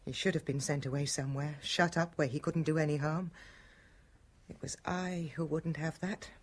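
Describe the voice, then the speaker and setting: An actress in a radio drama playing a troubled but firm, guilt-ridden lady of the house, with a husky voice. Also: sits low, fairly even intonation, moderate pace. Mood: guilty, subdued.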